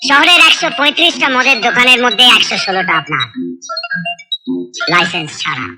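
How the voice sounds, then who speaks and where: A woman voicing a bold, unflappable police officer in comic cartoon dialogue, deliberate and taunting. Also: medium pitch, big pitch swings, close to an everyday voice. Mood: coolly accusing. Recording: dialogue under clear background music. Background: music.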